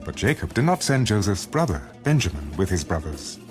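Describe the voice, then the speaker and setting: A low-voiced man narrates scripture as solemn audio theater. His delivery is very varied in pitch and scripted, with prominent music playing behind him. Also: big pitch swings, brisk. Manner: solemn storytelling. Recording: loud music bed.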